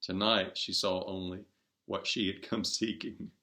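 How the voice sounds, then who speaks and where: Slow, deliberate male story narrator. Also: low-pitched, level tone, sharp tone. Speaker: narrator reading a short story aloud. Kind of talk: scripted narration, storybook reading.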